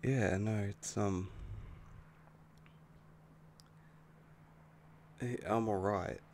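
Man as a deep, gravelly, slow-talking werewolf boyfriend. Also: fairly even intonation, darkish tone, a little hiss or hum. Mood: shaken. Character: affectionate young male werewolf boyfriend, easily angered, protective. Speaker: roleplay audio performer. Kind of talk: intimate boyfriend roleplay ASMR.

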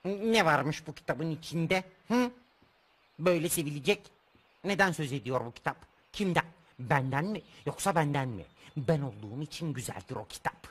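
An actor voicing a stubborn, self-important, childish bug puppet in a children's TV show, repeating himself insistently in a husky voice. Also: male voice, a little high, expressive, normal speed, a bit bright, slight background noise. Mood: demanding, vain. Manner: repetitive, insistent, turns phrases around.

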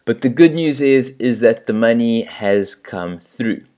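A man's voice: mid-range voice, lively intonation, measured pace, a little mellow. A man with a South African accent, chatting casually and a little self-deprecatingly as he walks through a screen recording. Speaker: channel host.

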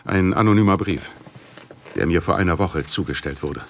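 A middle-aged man of standing, speaks in a deep voice. He is formal and measured, a worried, serious father in an audio drama. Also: creaky, a little hiss or hum. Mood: grave concern. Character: grave, worried Chinese businessman, father of missing daughter. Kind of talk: German radio play dialogue.

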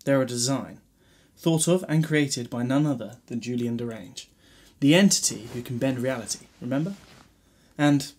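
A man's voice: medium pitch, normal speed, darkish tone, breathy edge, lightly acted. A man playing a cheerful, eccentric amnesiac time traveller in a fan-made audio drama. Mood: breezy. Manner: breezy, whimsical.

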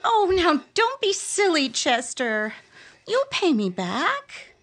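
A woman's voice: very high voice, huge pitch swings, slow pace, a bit bright, heavily acted. An actress playing an anxious, sweet young woman on a date in 1950s radio comedy, hesitant and plaintive. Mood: sweetly plaintive.

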